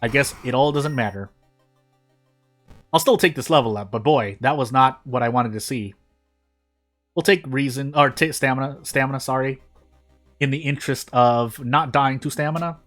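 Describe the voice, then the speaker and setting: A man's voice: mid-range voice, normal speed, pauses often, fairly bright tone, soft background music. A man hosting a gaming channel, giving casual, rambling gameplay commentary with self-deprecating asides.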